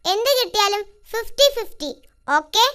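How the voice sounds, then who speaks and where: A performer voicing a small, cheeky cartoon mouse with a childlike, squeaky voice, crafty and friendly, for children. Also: expressive, normal speed, a bit bright. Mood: friendly, scheming. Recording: music behind the voice.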